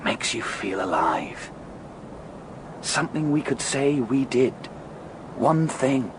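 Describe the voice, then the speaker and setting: A man's voice: mid-range voice, sing-song, slow pace. A man acting in a radio drama, playing a restless, thrill-seeking gambler who justifies himself intensely and intimately. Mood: fervent, musing. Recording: clear background vehicle noise.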